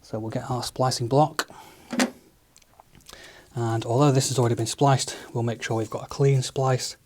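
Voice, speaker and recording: A man giving a relaxed, methodical hands-on tutorial as a studio engineer, walking through each step with the odd filler. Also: medium pitch, normal speed, background noise. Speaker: studio engineer demonstrating equipment.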